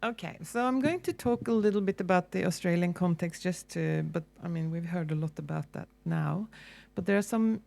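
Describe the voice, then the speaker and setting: A woman with a Norwegian accent giving a relaxed, conversational public lecture as a university lecturer, with frequent ums and restarts. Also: lowish voice, fairly quick, creaky, few pauses. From Norway.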